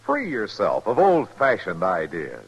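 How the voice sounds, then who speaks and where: A man's voice: lowish voice, huge pitch swings, measured pace. A man with an American accent reading a mid-century radio commercial as its announcer. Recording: old radio ad, loud music bed.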